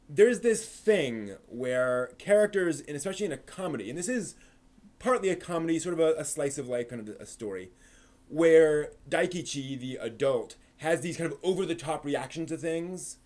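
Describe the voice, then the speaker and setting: A man's voice: a little high, animated, normal speed, darkish tone. A man hosting a live stream, giving a casual, off-the-cuff review and often rephrasing himself as he explains.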